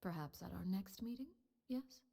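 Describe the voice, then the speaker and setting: An actress playing a composed, calculating female leader who speaks in smooth, measured words with veiled threats. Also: mid-range voice, expressive, dark tone. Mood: cool.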